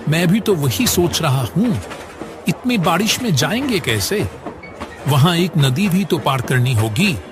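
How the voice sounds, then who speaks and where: A man's voice: on the high side, big pitch swings, unhurried, over music. A man voicing a kindly, generous older village headmaster in a cartoon story, chatty and avuncular. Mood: practical. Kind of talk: Hindi cartoon story acting.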